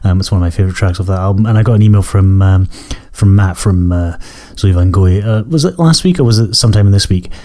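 A Scottish man hosting a music podcast, croaky from a cough, chatting in a rambling, self-correcting, apologetic way between tracks. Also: low-pitched, fast talker, few pauses, mellow. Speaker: podcast host. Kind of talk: casual radio-style chat between tracks.

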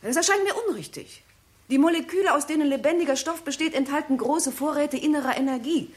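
A woman's voice: high-pitched, fast talker, lightly acted. An actress playing a scornful, sceptical female scientist in a 1960s German radio drama, challenging and dismissive.

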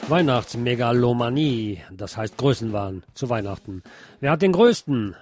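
A man's voice: mid-range voice, expressive, normal speed, over loud music. A man presenting on radio, reading scripted local news and commentary.

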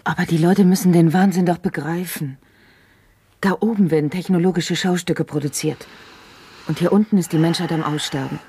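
A woman playing an earnest, high-strung young dweller of a dystopian dome city in a science-fiction radio play. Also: steady tone, moderate pace, warm tone, husky. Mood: earnest, urgent. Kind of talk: 1970s German radio drama acting.

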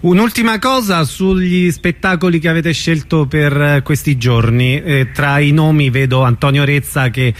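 A man's voice: fairly high pitch, normal speed, hardly any pauses. A man hosting a radio interview, asking questions with some hesitation and brief interjections.

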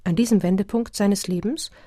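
Husky narrator, scripted radio feature, really dry studio sound. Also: medium pitch, steady tone, fairly quick, dark tone, dry recording.

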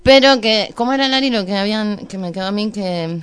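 A woman's voice: medium pitch, a little fast, a little hiss or hum. A woman with an Argentine Spanish accent interviewing in a casual discussion, rambling tentatively and checking for agreement. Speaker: radio show interviewer.

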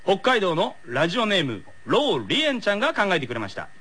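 A man's voice: sits high, huge pitch swings, fast, sharp tone, breathy edge. A man co-hosting a radio show with light banter and announcements.

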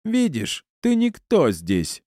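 A man voicing a haughty, spiteful ex-wife in an audiobook drama, with a shrill, taunting manner. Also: wildly swooping pitch, takes it slowly, warm tone, no echo, studio quality, exaggerated voice. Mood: gloating.

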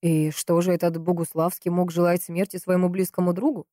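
A polite police investigator from a detective audiobook, played by a woman narrator, questioning with puzzled scepticism. The delivery is measured and mellow, in a dry recording. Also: lowish voice, little pitch movement, dry recording, natural voice. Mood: probing, doubtful.

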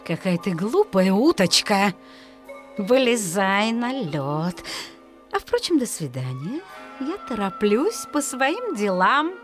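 A mocking fox villainess from a storybook audio drama. She wheedles with false sweetness and swings widely in pitch, drawing out her taunts slowly. Faint music plays behind her. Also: big pitch swings. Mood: wheedling. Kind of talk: audio play fairy-tale acting.

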